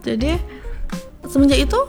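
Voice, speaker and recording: A woman's voice: high voice, lively intonation, normal speed, creaky, music in the background. A young woman with an Indonesian accent chatting casually as an interview guest, explaining things in an informal way. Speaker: interview guest, small food seller.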